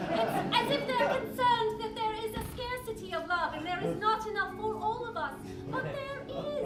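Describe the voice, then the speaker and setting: A woman voicing a flirtatious female insect doctor, theatrical and cooing, giving exuberant advice as host of a comic live puppet show. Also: very high-pitched. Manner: theatrical, cooing, breaks into drawn-out exclamations. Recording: echoey live stage, distant mic, music, audience.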